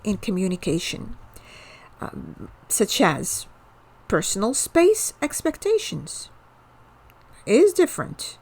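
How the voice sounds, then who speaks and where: A woman with a mid-range voice teaches in an informal lecture style. She explains earnestly, pauses on fillers, restarts phrases, and keeps checking in with the listener. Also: medium pitch. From Morocco. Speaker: host, cross-cultural trainer.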